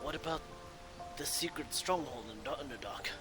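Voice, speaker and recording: A man reading video game dialogue aloud in character as a gamer doing light role-play, with a halting, acted delivery. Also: mid-range voice, animated. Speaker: gameplay video creator role-playing the player character. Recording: game music behind.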